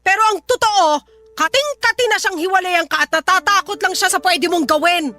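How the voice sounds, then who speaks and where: A woman's voice: very high voice, brisk, pushed and bright, soft background music. A woman playing an exasperated, blunt mother scolding her grown daughter in a Tagalog radio drama. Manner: blunt, reproachful.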